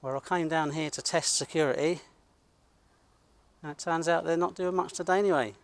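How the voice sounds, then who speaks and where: A man's voice: fairly high pitch, steady tone, fairly quick, creaky. A British man talking bluntly and self-assuredly as he narrates to his camera outdoors and chats casually, working as an independent videographer. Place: outdoors at a college car park.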